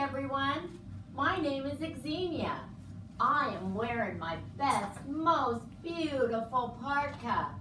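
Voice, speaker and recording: Bright, roomy-sounding proud puppet lady played by a woman. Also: high-pitched, voice pushed up, heavily acted. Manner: exclamatory, addresses the audience with questions. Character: proud, cheerful Chugach Sugpiaq woman puppet in fur parka. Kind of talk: educational puppet show monologue. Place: indoor room, puppet stage. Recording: roomy, distant mic, faint noise.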